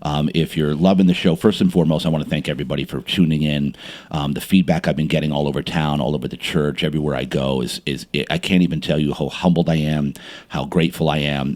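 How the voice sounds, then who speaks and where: Very deep-voiced excitable male podcast host. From New Jersey. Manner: animated, excitable, repeats words, many fillers. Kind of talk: casual interview chat with sponsor plug.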